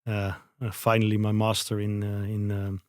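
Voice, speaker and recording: Dutch guy in his 30s, accent, lots of uhs, low flat voice, dry sound. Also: moderate pace, no room sound. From Netherlands. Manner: hesitant, searching for English words, many fillers. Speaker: guest, company founder. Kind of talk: interview answers.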